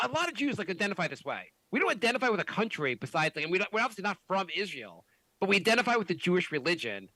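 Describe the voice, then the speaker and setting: A man hosting a podcast, chatting casually, animated and swearing often with many restarts. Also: high voice, dry recording, low-quality recording. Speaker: podcast host. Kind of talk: casual chat.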